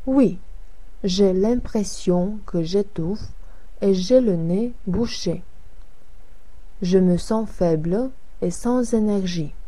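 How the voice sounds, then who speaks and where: A woman reads Hélène, an adult woman down with a cold and fever, in a learners' dialogue. The reading is very slow and even. The voice is mellow, with a soft, dark tone. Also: medium pitch. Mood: unwell. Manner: careful, evenly paced reading. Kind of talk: slow scripted language-lesson dialogue.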